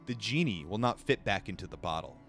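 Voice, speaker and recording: A young man with a lowish voice reads a spiritual book aloud for a live audience, tripping over the odd word. Between passages he talks with chat in a loose, blunt, profane way. Faint music plays behind him. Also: expressive, brisk, a bit bright. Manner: casual and blunt, swears, breaks off reading to answer chat; stumbles over words while reading. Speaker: livestream book reader. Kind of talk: reading a book aloud on a livestream, chatting with viewers.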